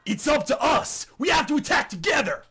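Brief: snarky, panicky little fighter man acting, whiny, very high, wildly swooping cartoon read. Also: big pitch swings, fairly quick, vocal fry, raised voice, heavily acted. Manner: whiny, sarcastic. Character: short, snarky, panicky male anime fighter. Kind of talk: anime parody dub acting. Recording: noisy, reverberant.

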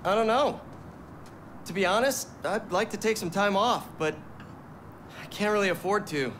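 A man's voice: sits high, normal speed, a bit bright, a little hiss or hum. An actor playing an earnest, worried young American man in a video game, speaking hesitantly and sincerely. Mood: troubled. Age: young adult. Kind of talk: naturalistic game cutscene acting.

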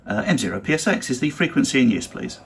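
A man's voice: mid-range voice, sing-song, fast talker, slight background noise. A British man giving an instructional demonstration as an amateur radio operator and trainer. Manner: spells call signs in phonetic alphabet.

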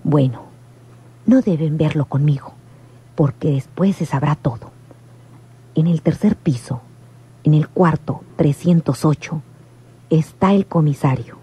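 An actress playing a discreet, obedient gangsters' accomplice in a Mexican radio serial, guarded and matter-of-fact, on an old recording. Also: female voice, sits low, unhurried, pauses often, warm tone, husky, heavily acted, poor audio. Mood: conspiratorial.

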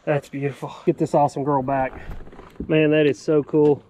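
Young dude fishing off a kayak, hyped and loud about it, kinda high voice, bit of outdoor hiss. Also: male voice, moderate pace. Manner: excitable, exclaims and laughs often. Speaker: fishing vlogger. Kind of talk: excited outdoor chat.

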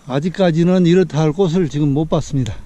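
Calm Korean man narrating a trail hike. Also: fairly high pitch. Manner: calm, measured commentary with drawn-out pauses. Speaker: hiking vlogger. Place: outdoors on a mountain forest trail. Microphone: action camera.

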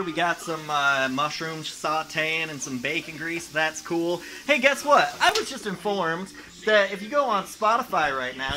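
A man's voice: high-pitched, rough recording. A man in his twenties hosting a casual comedic cooking vlog, talking in a slangy, profane, self-mocking way. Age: 20s. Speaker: host of a home cooking show. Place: small home kitchen indoors. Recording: music playing behind, food frying. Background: music, sizzling pan.

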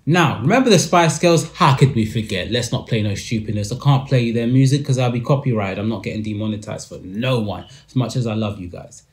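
A young man talks straight to camera in a small room, hosting his podcast with emphatic, animated delivery. His voice sits at an ordinary middle pitch for a man. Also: fairly quick, few pauses, a little hiss or hum. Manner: animated, emphatic with hand gestures. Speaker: podcast host. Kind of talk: commentary to camera.

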